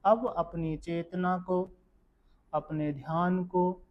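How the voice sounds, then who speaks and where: A man with a slightly high voice leads a guided meditation at a very slow pace. His delivery is calm and measured, giving gentle step-by-step instructions. The recording sounds somewhat dull and lo-fi. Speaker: yoga nidra meditation guide.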